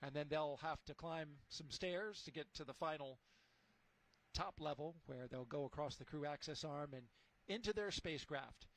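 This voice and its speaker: A man giving live launch commentary in a relaxed, upbeat, conversational way. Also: a little high, normal speed.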